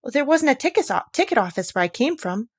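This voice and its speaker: A woman's voice: high-pitched, very animated, fast talker, husky, no room sound, clean studio sound, pitched above the performer's own voice, heavily acted. Young storybook heroine, polite yet impatient, plaintive and earnest, voiced by an adult woman. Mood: frightened.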